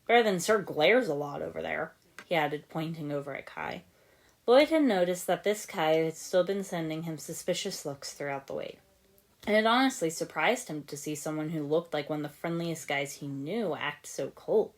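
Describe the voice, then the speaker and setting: A woman's voice: deep voice, animated, normal speed. A woman reading fan fiction aloud as a scripted audiobook narration. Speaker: podfic narrator.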